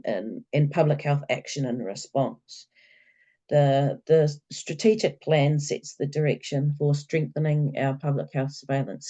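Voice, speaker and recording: Croaky, slow-speaking New Zealand woman presenter. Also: extremely low pitch, mellow. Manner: hesitant, many fillers and restarts. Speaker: public health conference presenter. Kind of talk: conference presentation.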